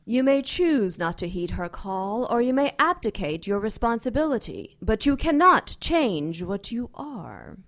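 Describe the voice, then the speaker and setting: An ancient female dark angel, imperious and sardonic. Her delivery is deliberate and theatrical, with pitch that rises and dips freely. She taunts and condescends as if everyone else were beneath her, in audio drama style. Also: very animated. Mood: solemn.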